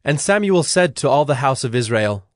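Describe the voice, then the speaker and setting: A man narrating scripture from a script in a measured, solemn storytelling manner. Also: mid-range voice, lively intonation, no room sound, studio quality.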